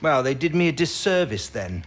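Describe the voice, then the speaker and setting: An actor playing an impatient British codebreaking chief in a wartime audio drama, with a clipped, exasperated, insistent manner. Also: male voice, mid-range voice, sing-song, normal speed, natural voice.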